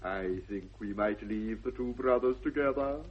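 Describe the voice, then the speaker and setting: A man's voice: fairly low pitch, normal speed, natural voice. A man playing a pompous, benign English country clergyman with an unctuous, sententious manner in a radio comedy. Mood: benign, pious. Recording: dull 1960s radio recording.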